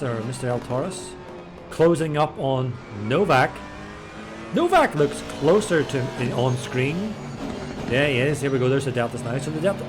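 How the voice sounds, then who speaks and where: A man giving chatty, excitable live commentary on an online race, stumbling over drivers' names. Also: mid-range voice. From Ireland. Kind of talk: live sim racing commentary.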